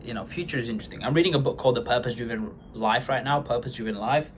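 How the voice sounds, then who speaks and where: A man's voice: mid-range voice, fast, a little hiss or hum. A man in his twenties from Bulgaria, talking earnestly in a rambling, personal vlog monologue with many fillers. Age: 20s. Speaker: vlogger.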